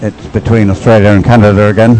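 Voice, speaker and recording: A man giving unscripted live race commentary, explaining as he goes in a hesitant, halting way and repeating words. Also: lowish voice, flat delivery, music in the background, poor audio. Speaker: sports commentator.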